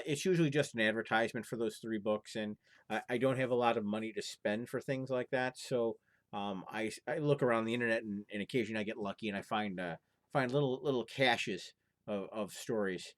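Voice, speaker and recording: A man with a mid-pitched voice works as an informal storyteller. He shares folktales in a homespun way, somewhere between casual talk and reading aloud. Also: a little fast.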